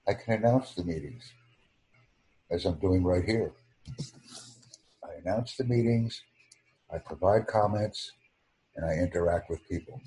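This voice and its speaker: A deep, husky American man with a mellow, dark tone, speaking slowly and formally. He lays out each phrase with careful, over-enunciated precision, as if addressing a class. The sound is that of a computer mic on a video call. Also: rough recording. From United States. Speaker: YouTube channel host.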